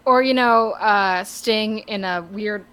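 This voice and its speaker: A woman's voice: mid-range voice, takes it slowly, a bit bright, clear, resonant tone. A woman in her thirties chatting casually as a podcast co-host. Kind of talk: casual chat.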